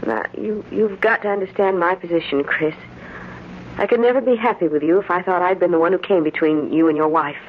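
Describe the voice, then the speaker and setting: An actress playing a principled, gently firm young former sweetheart in a 1940s-style radio drama. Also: female voice, lowish voice, brisk, close to an everyday voice. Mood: earnest, hesitant. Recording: muffled, noisy old radio broadcast recording.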